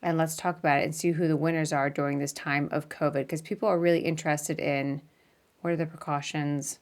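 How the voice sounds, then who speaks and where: A woman hosting a casual live video interview, chatty and quick to laugh. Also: sits low, flat delivery. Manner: chatty, laughs often, apologetic at start. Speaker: podcast host.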